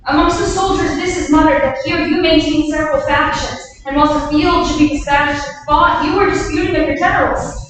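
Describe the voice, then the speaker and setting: A woman's voice: high-pitched, fairly quick, a bit bright. A woman playing a distressed, urgent war messenger in a declamatory staged reading of Shakespearean verse. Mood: reproachful. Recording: very echoey hall from far off, faint noise.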